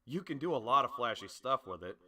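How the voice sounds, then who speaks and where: Casting: brash, spirited teen superhero student for an anime dub, forceful and bright, punchy delivery, performed by a man. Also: mid-range voice, animated, fast talker. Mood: upbeat, admiring. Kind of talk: anime dub audition acting.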